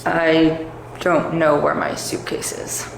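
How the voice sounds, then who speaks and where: A woman's voice: sits low, monotone, slow, vocal fry, reverberant, a little hiss or hum, full character voice, poor audio. A woman playing a lazy, clueless, entitled husband and father in a scripted comedy skit, oblivious and whiny.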